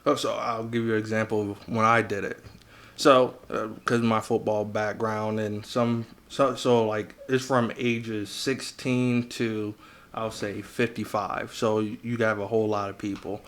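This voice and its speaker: Low-voiced American man, fairly even tone. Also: measured pace. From United States, Virginia. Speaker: podcast guest, business coach. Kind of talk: casual interview chat.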